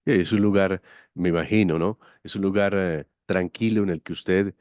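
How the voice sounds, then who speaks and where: A man's voice: sits low, fairly even intonation, normal speed, darkish tone, smooth, no room sound, clean studio sound. A man hosting an interview, courteous and effusive as he asks his questions. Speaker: interviewer, host.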